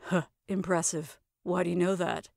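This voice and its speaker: A woman's voice: lowish voice, steady tone, moderate pace, creaky, darkish tone, no room sound, clean studio sound, pitched below the performer's own voice. A woman playing a snooty, disapproving older mother-in-law in comic audio fiction, with a clipped, condescending, snarky delivery. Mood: cool disdain.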